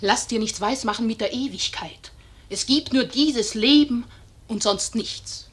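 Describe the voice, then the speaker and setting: A woman's voice: a little high, measured pace, breathy edge. A woman voicing an insistent, scornful bullet that speaks to a dying man in incantatory radio play style. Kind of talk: declamatory literary radio play acting.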